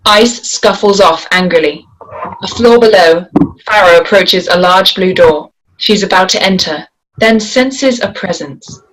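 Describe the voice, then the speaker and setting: A woman's voice: mid-range voice, unhurried, background noise. A woman reading stage directions as the narrator at a table read, in scripted narration.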